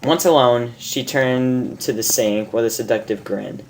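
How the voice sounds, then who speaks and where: A woman reading fan fiction aloud in an amateur, halting way, stumbling over words and restarting. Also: rumbling low, darkish tone, slight background noise, poor audio.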